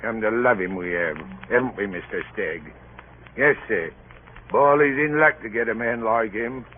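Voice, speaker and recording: An actor playing a sardonic, grumbling ship's officer in a radio drama, with a rough, folksy, sarcastic way of talking. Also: male voice, lowish voice, normal speed, lightly acted. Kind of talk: 1940s radio drama acting. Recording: tinny old radio broadcast, clear hiss.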